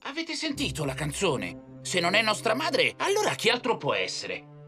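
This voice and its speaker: Woman as stubborn young billy-goat boy for a cartoon fairy tale: excitable, very animated pitch, music behind. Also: moderate pace. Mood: stubborn, insistent. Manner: excitable, insistent. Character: eager, trusting, headstrong young male goat kid. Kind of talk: children's cartoon voice acting.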